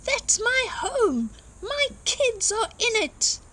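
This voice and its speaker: Woman voicing a high, exclaiming little daddy mole. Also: big pitch swings, slow, bright, forceful tone, heavily acted. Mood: indignant, pleading. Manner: exclaiming, emphatic. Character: brave little daddy mole, alarmed, defiant.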